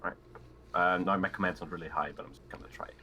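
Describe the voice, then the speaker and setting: A man chats through his turn in a tabletop game with a very low voice that has a bright, forward edge. He is casual and tentative, working out choices aloud over slight background noise. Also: fairly even intonation, racing.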